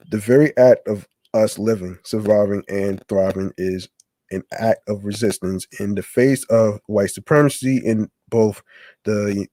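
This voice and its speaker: Slow, mellow-voiced man reading haltingly. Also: on the low side, no echo. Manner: halting reading, stumbling and restarting over words. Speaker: podcast host. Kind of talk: reading text aloud on a podcast.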